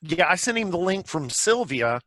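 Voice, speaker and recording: A man's voice: sits high, big pitch swings, normal speed, a bit bright, dry recording. A man hosting a table read on a video call in a casual, chatty way. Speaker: host and director of a virtual table read. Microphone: video call microphone.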